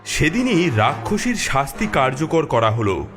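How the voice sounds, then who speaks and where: A man's voice: fairly high pitch, very animated, a little slow. A man narrating a scripted fairy tale as storyteller. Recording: music behind the voice.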